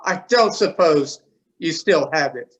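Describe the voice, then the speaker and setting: Fretful, halting postman, acted on stage. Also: medium pitch, lively intonation, a bit bright. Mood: apologetic. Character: anxious, apologetic postman. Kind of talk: readers theatre performance of a children's story.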